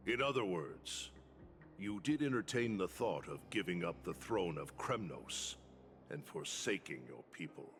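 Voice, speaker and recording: An actor playing a sardonic, commanding old warrior mentor in a video game, with a gravelly voice and grandiose delivery. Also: male voice, low-pitched, big pitch swings, measured pace, a bit bright, faint music behind, heavily acted. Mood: stern, probing. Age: older. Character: gruff, taunting old warrior mentor, theatrical storyteller.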